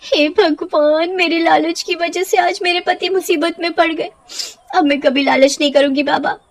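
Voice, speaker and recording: A woman's voice: very high-pitched, fairly even intonation, moderate pace, pitched above the performer's own voice. A woman voicing an anxious village wife in a cartoon story, dramatic and pleading. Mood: remorseful, pleading.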